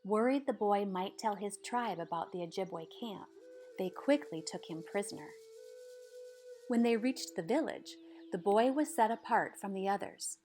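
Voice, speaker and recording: A woman's voice: mid-range voice, expressive, normal speed, darkish tone. Woman reading a storybook over music. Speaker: storyteller reading a picture book aloud. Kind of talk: expressive scripted storybook narration.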